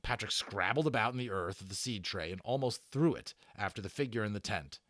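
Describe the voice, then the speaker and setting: A man's voice: fairly low pitch, brisk, a bit bright, no room sound, clean studio sound. A man giving a dramatic narration of a children's book as a storyteller.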